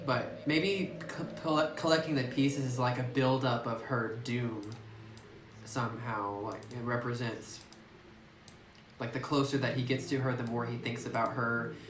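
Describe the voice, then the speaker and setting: A let's-play commentator muses aloud in a loose, meandering way, stopping and restarting and leaning on "like" between thoughts. The voice sits at a middle pitch. It was captured on a phone in a room with noticeable echo. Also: noisy background. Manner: rambling, thinking aloud, heavy use of "like". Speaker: gameplay commentator. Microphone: phone microphone. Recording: roomy echoey phone recording.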